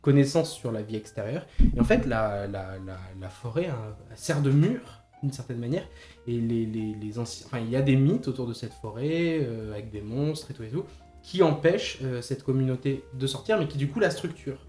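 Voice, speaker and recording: A man's voice: medium pitch, expressive, mellow. Guy talking movies casually, keeps restarting, bit roomy, guitar music behind. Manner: hesitant, halting, repeats words while thinking. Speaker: podcast co-host. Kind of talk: casual film discussion. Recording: slightly roomy podcast recording with music behind.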